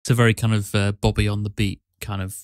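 A man's voice: lowish voice, flat delivery, quick pace, smooth, dry recording, studio quality. A man hosting a podcast in casual, wry, joking chat. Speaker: podcast host.

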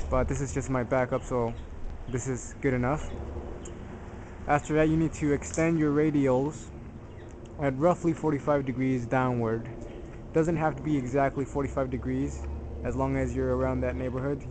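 A man's voice: mid-range voice, darkish tone. A man narrating an informal hands-on tutorial in a plain-spoken, slightly hesitant, self-deprecating way. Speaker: DIY tutorial presenter. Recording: noisy amateur camera audio.

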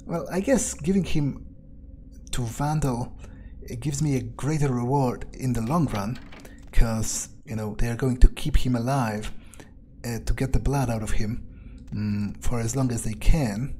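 A man with a mellow, dark voice thinks aloud as his game character. He rambles hesitantly and swears casually, with faint game ambience behind him. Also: mid-range voice, a little slow, a little hiss or hum. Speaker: let's player.

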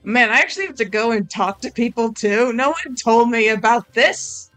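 A woman's voice: a little high, lively intonation, normal speed, fairly bright tone, dry recording. A woman co-hosting a gaming video, commenting casually with joking, mock-dramatic delivery. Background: music.

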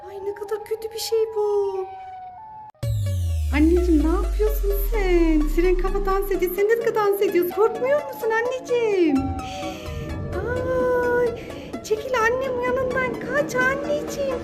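A woman's voice: extremely high pitch, measured pace, over music. A woman talking as a mother to her child in a playful home skit, exclaiming and repeating short urgings.

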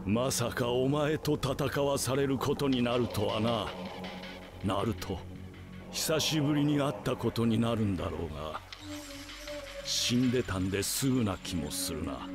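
A man playing a world-weary, reflective ninja in an anime game, measured and wry. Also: mid-range voice, close to an everyday voice. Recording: game dialogue over music.

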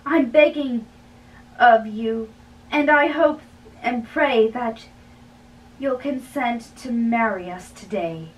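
A young woman playing a lovesick, earnest young man, impatient and pleading, in a halting dramatic play reading in a bedroom. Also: on the high side, slight background noise. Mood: pleading, subdued. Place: small bedroom, indoors. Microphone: camera a few feet away. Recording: distant mic in a bedroom.

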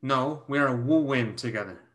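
A young man playing a stern, protective older guardian in a staged play reading, measured and solemn. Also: mid-range voice, a bit bright, natural voice. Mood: solemn, insistent. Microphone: video call.

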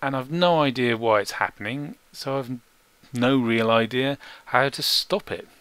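Measured man commentating, dry close recording. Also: medium pitch, a bit bright, smooth, no room sound. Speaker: gaming video creator. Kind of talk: video game commentary over gameplay.

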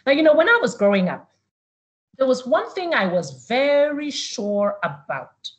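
A woman's voice: sits high, very animated, a little slow. A middle-aged woman from Uganda giving a motivational webinar talk to young people, telling stories and repeating words for emphasis. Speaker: motivational speaker. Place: online video call.

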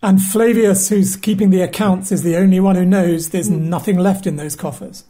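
A woman's voice: fairly low pitch, fairly even intonation, normal speed, warm tone, breathy edge. A woman casually explaining and discussing a story in conversation.

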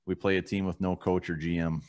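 Deep-voiced man blunt sports chat. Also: level tone, a little fast, a little mellow. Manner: blunt, swears casually, rattles off stats. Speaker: co-host of a sports fan show. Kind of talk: casual postgame sports chat. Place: home room.

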